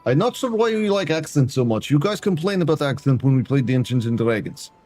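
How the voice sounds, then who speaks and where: A Canadian man chats through a game stream in a put-on foreign accent, clipping away his articles. His voice is mellow and a little husky. Faint music plays behind him. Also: medium pitch, animated, fairly quick. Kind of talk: casual gameplay chat with viewers.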